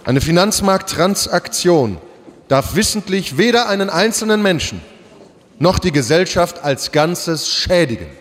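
An actor playing a solemn bank president in a radio drama, giving a stately, formal ceremonial speech. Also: male voice, sits high, animated, unhurried, a bit bright, some chatter behind. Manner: oratorical, stately, addresses audience formally.

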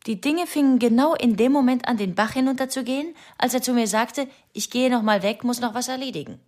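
A woman's voice: on the high side, a little fast, a little mellow, close to an everyday voice. An actress playing a bitter, suspicious wife confiding about her husband in a plain, matter-of-fact radio drama confession. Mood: wounded, suspicious.

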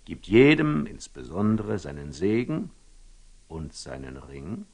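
An actor playing a wise, wary older merchant in a classical German radio drama, speaking verse deliberately and theatrically, often falling to a whisper. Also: male voice, low voice, very animated, a little mellow, full character voice. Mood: solemn storytelling. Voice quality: husky, gravelly, often dropping to a whisper. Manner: deliberate, ruminative, theatrical storyteller with dramatic pauses.

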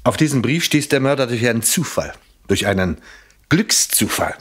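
An actor playing a shrewd, persistent police inspector in a German radio crime drama, speaking formally and deliberately as he probes. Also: mid-range voice, sing-song, fairly bright tone, breathy edge. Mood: pointed, accusing. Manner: probing, formal, pointedly deliberate.

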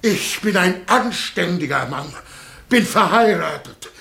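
A frivolous, evasive husband in a radio play. His voice is creaky and swings widely in pitch. He hesitates and stammers, always trying to ingratiate himself. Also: lively intonation, slow, pushed and bright, full character voice. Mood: flustered pleading. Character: evasive, flustered married man, ingratiating and frivolous. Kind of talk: German radio drama acting.

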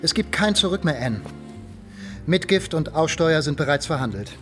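A man's voice: a little high, expressive, moderate pace, faint music behind, natural voice. An actor playing a stern, controlling merchant father, middle-aged, proud and commanding, in a German radio drama. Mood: cold, resolute.

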